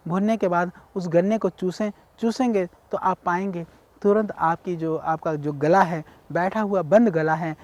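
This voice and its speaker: A man from India giving a measured, explanatory health talk on ayurveda, speaking directly to viewers. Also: high-pitched. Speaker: ayurveda teacher.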